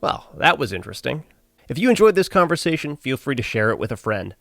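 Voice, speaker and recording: A man's voice: medium pitch, big pitch swings, fast, creaky, a bit bright, dry recording, soft background music. A man hosting a podcast, talking in an informal, conversational way. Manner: informal, appreciative, says "man" and "dude" often. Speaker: podcast host. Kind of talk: casual chat and informal host outro.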